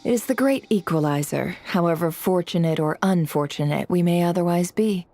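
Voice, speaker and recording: A calculating businesswoman boss, acted by a woman, with a lowish voice. She is composed, formal and measured in anime game style, with faint music behind. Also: warm tone, close to an everyday voice. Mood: grave, measured.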